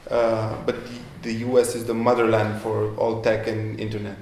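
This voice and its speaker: A man's voice: fairly low pitch, monotone, normal speed, darkish tone, a little hiss or hum. A man answering questions as a guest entrepreneur in a live interview, speaking with many fillers and restarts. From Romania. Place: event room. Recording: echoey room with faint ambience.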